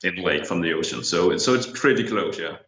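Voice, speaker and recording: A man's voice: low-pitched, lively intonation, a little fast, fairly bright tone. A man in his thirties from Denmark with a Danish accent, a photographer speaking easygoing English as a guest in a casual video-call interview. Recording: roomy, slightly dull video-call audio.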